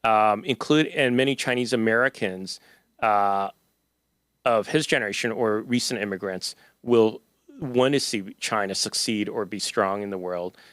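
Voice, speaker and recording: A man's voice: fairly low pitch, measured pace, a bit bright, dry recording. A man, an author, answering audience questions at a book talk in a loose, conversational way with many fillers and restarts. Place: event hall.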